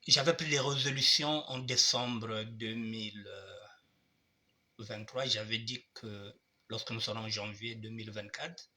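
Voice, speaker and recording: A man's voice: fairly low pitch, steady tone, measured pace, pushed and bright. An older man hosting his show, speaking to his audience in a formal, repetitive way while he has a cold. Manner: addresses audience formally, repeats himself. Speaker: online show host. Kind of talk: monologue commentary.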